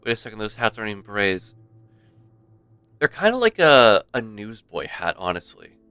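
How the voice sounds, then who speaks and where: A game streamer, a man with a low but bright, forward voice. He rambles playfully in casual livestream chat, close and dry on the mic. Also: sing-song, normal speed, clean tone, no echo. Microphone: close streaming microphone. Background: faint game music.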